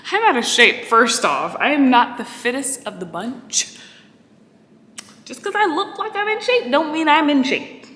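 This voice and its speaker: A woman's voice: high voice, big pitch swings, unhurried, a bit bright. A young woman vlogging in an apartment gym, out of breath and chatty, poking fun at herself. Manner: chatty, self-mocking. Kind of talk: casual vlog chat. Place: large apartment-complex gym, indoors. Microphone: handheld camera at arm's length. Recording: echoey room, from off the mic.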